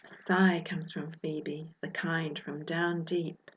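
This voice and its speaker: Unhurried New Zealand woman reading a story. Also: low voice, level tone, lo-fi sound, slight background noise. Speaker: author reading her own book. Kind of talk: expressive children's story reading in rhyme.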